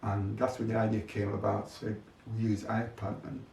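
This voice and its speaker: A man's voice: lowish voice, flat delivery, normal speed, vocal fry, a little mellow. An older man being interviewed, talking reflectively about his experiences in his own words. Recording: echoey, distant mic, faint noise.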